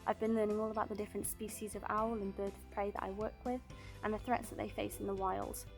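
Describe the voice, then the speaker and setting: A woman giving a clear, upbeat prepared testimonial as a bird of prey centre apprentice. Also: medium pitch, level tone, fast talker, soft background music.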